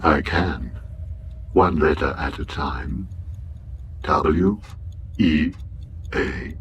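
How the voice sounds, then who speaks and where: A man's voice: background noise, heavily acted. A man playing an ancient, worn-out robot in a radio comedy drama, weary, doleful and sardonic, full of gloom and self-pity. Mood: slow, failing. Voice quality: low, weary drone.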